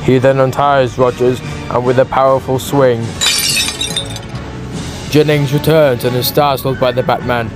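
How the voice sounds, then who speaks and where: A man's voice: mid-range voice, level tone, a little slow, rough recording. A man narrating a comic-book audiobook in a dramatic, scripted storytelling style. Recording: background music.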